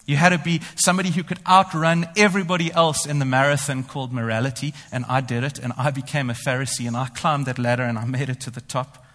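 A man's voice: mid-range voice, little pitch movement, quick pace, few pauses, a bit bright. A man preaching a sermon. He talks animatedly, often speaking in the first person as the apostle and boasting ironically. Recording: long hall reverb.

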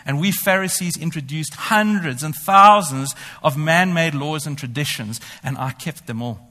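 A man's voice: fairly high pitch, moderate pace, sharp tone. A man preaching a sermon. He talks animatedly, often speaking in the first person as the apostle and boasting ironically. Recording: long hall reverb.

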